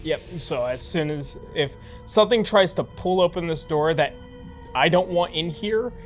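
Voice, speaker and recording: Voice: medium pitch, moderate pace, faint music behind. A tabletop role-playing player chatting casually in a blunt, sweary way. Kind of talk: casual game chat.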